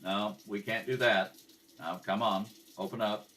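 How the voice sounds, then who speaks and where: A man playing a terse, businesslike, deadpan police detective in an amateur radio play. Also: on the low side, a little slow, far from the mic. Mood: firm. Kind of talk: amateur radio drama read-through with clipped narration.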